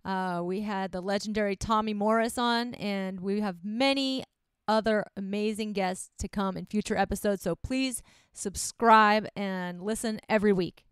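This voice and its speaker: A woman's voice: medium pitch, steady tone, normal speed, smooth, dry recording, clean studio sound. A woman co-hosting a comedy podcast, bantering casually with a teasing, sarcastic manner. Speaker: comic and podcast co-host.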